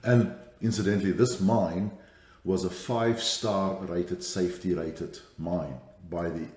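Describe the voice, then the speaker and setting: A man's voice: low voice, fairly even intonation, measured pace, darkish tone, reverberant. A middle-aged man from South Africa with a light South African accent, a podcast guest who speaks thoughtfully with fillers and restarts. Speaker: guest, safety strategist. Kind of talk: podcast interview.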